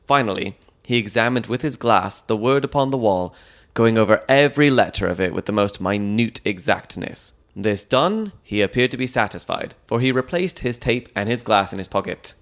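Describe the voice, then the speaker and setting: A male narrator reads a classic detective tale in the first person. His voice sits a little low, and he delivers the prose as scripted storytelling. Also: expressive, moderate pace.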